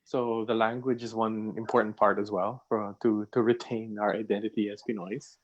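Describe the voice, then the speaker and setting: A man speaking as a panel guest, relaxed and repeating words as he goes. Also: on the low side, normal speed, dull audio. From Philippines. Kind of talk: casual panel chat.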